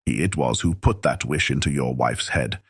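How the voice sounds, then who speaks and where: A man's voice: a bass voice, normal speed, gravelly, husky, no room sound, clean studio sound, heavily acted. A man playing an angry, commanding genie in a dramatized fairy tale audiobook, declaiming with indignation. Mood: grave warning.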